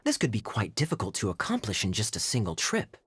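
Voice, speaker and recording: A man playing a suave, sociable young cavalry captain, smooth-talking and casual, in an anime game. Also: lowish voice, wildly swooping pitch, quick pace, dry recording, studio quality, heavily acted. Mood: wry, reluctant.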